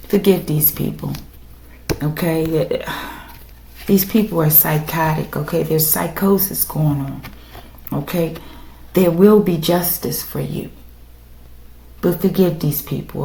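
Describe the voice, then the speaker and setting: A woman with a raspy voice doing a casual tarot reading for her viewers, speaking emphatically and often checking in with "okay?". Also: low voice, dark tone. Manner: emphatic, repeats "okay?" to the audience. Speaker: tarot reader. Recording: echoey room with faint noise.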